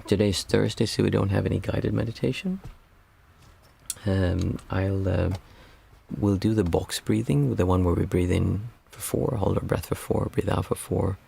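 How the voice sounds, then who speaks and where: A hoarse man with a raspy, worn voice, guiding a group meditation in a calm, unhurried way. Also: sits low. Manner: calm, unhurried counting of breaths. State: hoarse, losing his voice. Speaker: meditation teacher.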